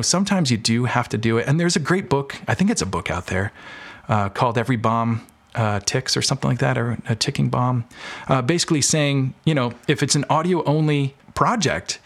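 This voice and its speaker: A man talking casually as an interview guest, explaining his creative work with examples. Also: mid-range voice. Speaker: podcast guest, audio drama maker and filmmaker.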